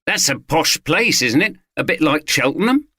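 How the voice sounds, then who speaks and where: A man's voice: fairly high pitch, sing-song, moderate pace, pushed and bright, breathy edge, dry recording, studio quality, pitched above the performer's own voice, full character voice. A man voicing a cheeky young constable who teases and asks questions. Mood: teasing. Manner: teasing, curious.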